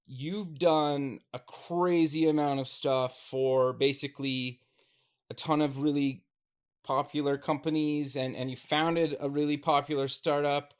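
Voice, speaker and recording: A man's voice: on the high side, fairly even intonation, measured pace, clean tone. A male podcast host who reads a sponsor spot and interviews a guest, reacting with short upbeat remarks. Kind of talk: interview hosting and ad read.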